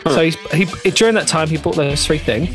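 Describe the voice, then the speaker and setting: A high-voiced man hosts a comedy podcast, reading a gossip segment aloud and laughing through it. Music plays clearly under his voice. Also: fairly quick. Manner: giggly, keeps laughing mid-sentence. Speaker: podcast host.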